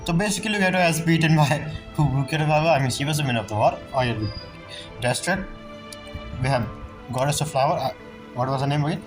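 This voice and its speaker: A woman's voice: low-pitched, quick pace. A woman streamer giving casual reaction commentary over a video game. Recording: game music behind the voice.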